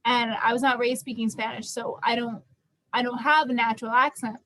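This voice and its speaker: A woman's voice: a little high, little pitch movement, fairly quick, fairly bright tone, rough recording. A young woman leading a casual group discussion in an emphatic, conversational way, repeating points to stress them. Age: young adult. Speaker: student theatre group discussion leader.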